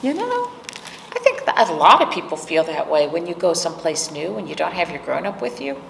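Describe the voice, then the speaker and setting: A woman's voice: sits low, sing-song, brisk, gravelly, a bit bright. A woman hosting storytime, reading picture books aloud to young children with simple explanations and questions. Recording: roomy, distant mic, faint noise.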